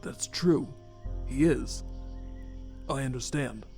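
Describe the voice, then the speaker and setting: A man playing a remorseful, haunted skeleton scientist and father, speaking formally and haltingly with earnest care in a fan comic dub. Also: mid-range voice, gravelly, darkish tone, faint music behind. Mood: subdued, guilty.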